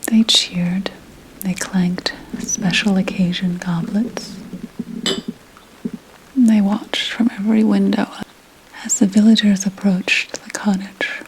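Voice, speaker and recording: A woman voicing a 17th-century witch who tells of her coven in a breathy ASMR whisper, hushed and deliberate. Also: medium pitch, level tone, slight background noise, lightly acted, rough recording. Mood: ominous, hushed. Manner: slow, hushed, deliberate storytelling. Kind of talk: whispered ASMR roleplay storytelling.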